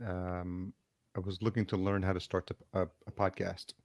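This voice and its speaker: A man speaks in a low, even monotone over a video call. He talks as an interview guest in an unhurried, measured way, pausing on ums and restarting his sentences. The sound is dry and close. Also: dry recording. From El Salvador, raised in Yonkers, New York. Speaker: podcast producer, guest. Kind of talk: casual interview chat. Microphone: remote video call.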